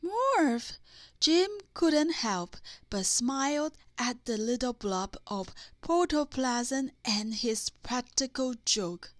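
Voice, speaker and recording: A woman reading a children's bedtime story aloud in a deliberate way, with dramatic emphasis. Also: on the high side, animated, no echo, clean studio sound. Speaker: storybook reader.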